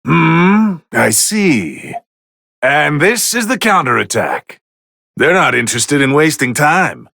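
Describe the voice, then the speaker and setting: A man's voice: fairly high pitch, very animated, slow pace, vocal fry, sharp tone, no echo, studio quality, exaggerated voice. A man voicing a haughty, easily bored god of destruction who comments on fights in a video game with condescending, refined, theatrical drawl. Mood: aloof, appraising. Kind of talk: English video game voice lines, match commentary.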